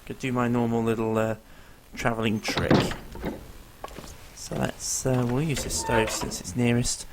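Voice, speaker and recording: A man's voice: medium pitch, flat delivery, unhurried, heavy background noise. Man with a strained voice. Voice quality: rough, tired-sounding voice. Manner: easygoing, explains as he plays, many ums and uhs. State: strained, failing voice. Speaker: gameplay commentator. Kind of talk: casual let's-play commentary.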